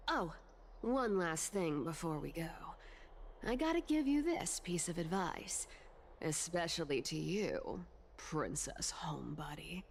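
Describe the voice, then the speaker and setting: A woman's voice: fairly low pitch, slight background noise, full character voice. A woman voicing a young female trickster demigod in a video game, with a breezy, teasing, sing-song delivery. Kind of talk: video game character acting.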